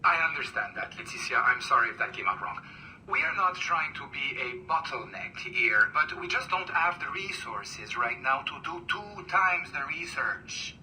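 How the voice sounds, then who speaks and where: An actor playing a harried, apologetic company manager in a video game. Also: male voice, fairly high pitch, very animated, moderate pace, sharp tone, recorded from a distance, a little hiss or hum, exaggerated voice, rough recording. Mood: placating. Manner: apologetic, placating. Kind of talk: video game cutscene acting.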